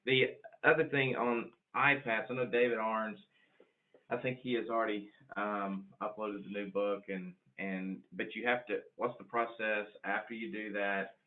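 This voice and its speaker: A man moderating an informal business call, halting and repeating words as he goes. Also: lowish voice, level tone. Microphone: conference call line. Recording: narrow, tinny phone line.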